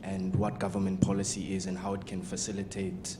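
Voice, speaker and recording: A man's voice: sits low, completely flat delivery, brisk, very noisy. A man from South Africa, an audience member, asking a panel a question and searching for words as he goes.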